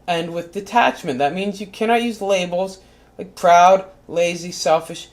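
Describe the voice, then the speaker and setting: A performer voicing a short-tempered, foul-mouthed cat who reads a spiritual book aloud, going from calm, measured reading to yelled, swearing rants. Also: medium pitch, lightly acted.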